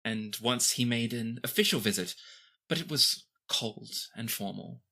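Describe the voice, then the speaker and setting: A young teenage princess played by a game master speaks in a bright, clear-cut tone. The phrasing is formal and stately, gentle yet guarded, as in a fantasy tabletop game. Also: medium pitch, lively intonation, measured pace, exaggerated voice. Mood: reserved, wistful.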